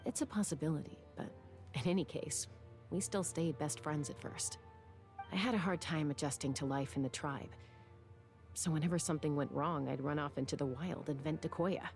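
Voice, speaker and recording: A guarded young woman from a video game, speaks in a low register with a gravelly fry. She is measured and wistful, reflective as she tells her story, with soft music underneath. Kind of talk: video game dialogue acting.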